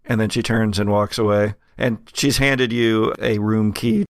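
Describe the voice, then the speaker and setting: A man narrating an improvised tabletop game as game master, in halting phrases with drawn-out pauses. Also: on the low side, steady tone, no room sound.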